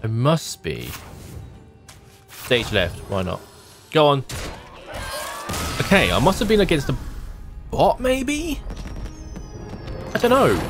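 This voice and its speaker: A man in his thirties giving casual gameplay commentary as a streamer, thinking aloud in short, musing remarks. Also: medium pitch. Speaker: game streamer. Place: small home room, indoors. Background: background music.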